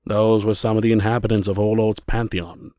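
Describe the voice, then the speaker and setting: A man's voice: on the low side, flat delivery, brisk, mellow, dry recording, clean studio sound. A man narrating a scripted fantasy story as its storyteller.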